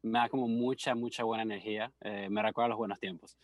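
A young man co-hosting a campus radio show, talking in a relaxed, easygoing way. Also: fairly low pitch, fast talker, dry recording. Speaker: radio co-host. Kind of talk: casual radio talk.

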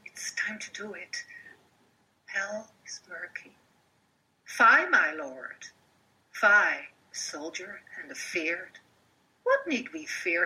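A woman with a Dutch accent voicing a guilt-ridden, unhinged sleepwalking noblewoman in a Shakespeare monologue, moving between loud cries and hushed whispers. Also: mid-range voice, full character voice, low-quality recording. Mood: frantic.

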